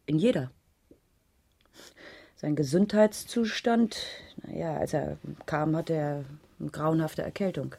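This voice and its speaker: A woman's voice: low-pitched, takes it slowly, creaky, long pauses, warm tone, slightly rough, heavily acted. A woman playing a concerned schoolteacher under questioning in a radio crime drama, speaking in a careful, reflective, slightly hesitant way. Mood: earnest, concerned. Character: concerned primary school teacher being questioned, thoughtful and defensive. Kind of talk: 1980s German radio drama dialogue.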